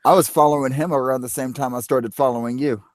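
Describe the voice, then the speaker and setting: A man's voice: medium pitch, fairly even intonation, fast talker, dry recording. A man on a group video call, talking in a wry, joking way. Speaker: call participant.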